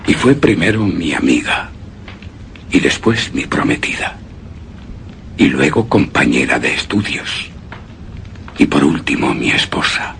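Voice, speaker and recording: A very deep, gravelly, haunted widower. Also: male voice, a bit bright, slight background noise, exaggerated voice. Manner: slow, halting, dramatic, with breathy whispered words. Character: brooding, grief-haunted widower recalling his lost beloved wife. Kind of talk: suspense and horror radio theatre acting, first-person narration.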